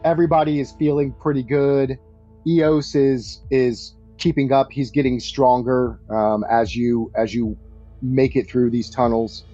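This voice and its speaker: A man improvising narration as a game master, speaking in short phrases with pauses between them. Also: medium pitch, steady tone, mellow, muffled recording, faint music behind.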